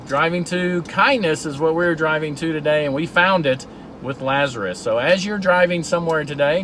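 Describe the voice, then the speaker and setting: A man's voice: fairly high pitch, fairly bright tone, a little hiss or hum. A middle-aged man from Georgia, telling a story in an earnest casual way as he drives. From Georgia, USA. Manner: earnest, storytelling, with repeated restarts. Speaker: vlog host. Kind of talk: casual talk while driving. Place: in a car.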